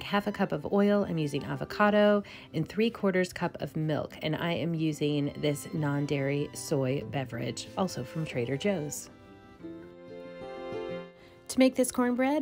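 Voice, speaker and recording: A woman's voice: on the low side, darkish tone. A woman in her thirties chatting casually in a home cooking vlog, walking through recipes step by step. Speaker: vlogger. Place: home kitchen, then a room with bookshelves.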